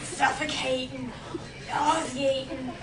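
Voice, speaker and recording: A woman playing a reluctant, embarrassed elderly woman recalling her youth in a halting, blunt stage monologue. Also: high-pitched, flat delivery. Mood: disgusted, dismissive. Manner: halting, blunt, easily flustered. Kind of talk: theatrical monologue performed on stage. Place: performance room, recorded from the back. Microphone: camera at back of room. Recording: roomy hall, distant mic, noisy camera audio.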